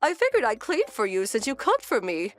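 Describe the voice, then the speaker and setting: A woman playing a meddling older mother-in-law in a manga dub, with exaggerated, drawn-out exclamations. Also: fairly high pitch, huge pitch swings, soft background music. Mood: defensive.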